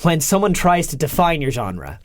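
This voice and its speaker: A woman's voice: sits low, very animated, normal speed, dry recording. Woman radio host, dry close sound. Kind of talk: casual music interview.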